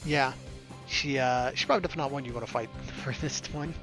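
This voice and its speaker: A man's voice: medium pitch, brisk, over music. A man reading visual novel narration aloud and adding casual commentary as a gaming streamer. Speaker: let's play streamer.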